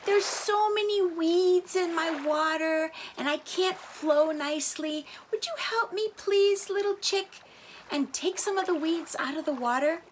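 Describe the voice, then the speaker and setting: A woman's voice: very high voice, measured pace, voice pushed up. A woman voicing begging nature spirits, a river, fire and wind, in a storybook tale. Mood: pleading.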